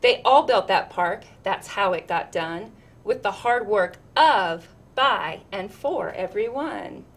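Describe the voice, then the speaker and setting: A woman's voice: medium pitch, animated, slow pace. A woman reading a children's picture book aloud, expressively, with chatty asides. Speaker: storytime reader.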